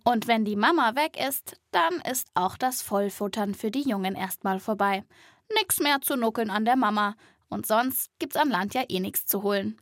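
Eine Frau moderiert einen Kinderpodcast, teils erzählend, teils im spielerischen Dialog. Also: female voice, mid-range voice, moderate pace, dry recording, clean studio sound. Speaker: host and reporter of a children's podcast. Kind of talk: scripted narration with playful dialogue.

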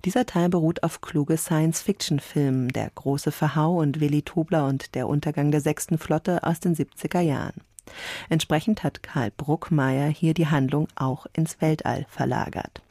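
Female radio moderator: low, dark timbre, dry acoustic, prepared yet conversational interviewing. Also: steady tone, moderate pace, no echo.

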